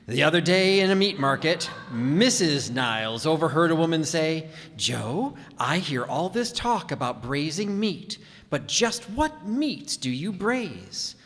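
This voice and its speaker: A folksy, genial 1940s radio announcer, played by a man delivering scripted copy. His slightly high voice swings with lively inflection through a reverberant hall. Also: measured pace.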